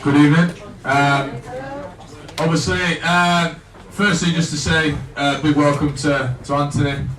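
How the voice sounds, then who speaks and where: A man, a coach at a fan evening, speaking haltingly, repeating words and laughing at himself. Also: fairly high pitch, flat delivery, a crowd in the background. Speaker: assistant coach. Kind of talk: casual speech at a fan evening. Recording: echoey room, far from the mic, noise behind.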